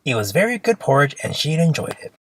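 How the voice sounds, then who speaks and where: A storyteller reading a children's fairy tale aloud in an expressive, simple storybook manner. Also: mid-range voice, quick pace, no room sound.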